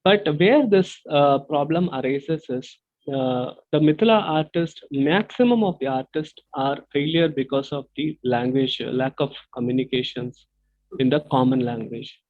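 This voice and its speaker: A man's voice: mid-range voice, moderate pace, a little mellow. A man from India, explaining earnestly as an interview guest over a video call, full of hesitant fillers. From South India. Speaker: guest artist. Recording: tinny phone-like call audio.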